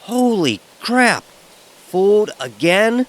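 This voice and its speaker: A man's voice: high-pitched, sing-song, slow, full character voice. An actor playing a frightened, sarcastic young fraternity pledge who narrates his story in a wry, vivid, emotionally charged way. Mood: panicked. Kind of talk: first-person dramatic horror audio narration. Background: music.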